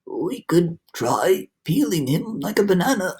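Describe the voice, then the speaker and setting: A woman's voice: on the low side, sing-song, unhurried, a little mellow, husky, full character voice. A woman voicing a testy, prim old male grasshopper who speaks snappishly.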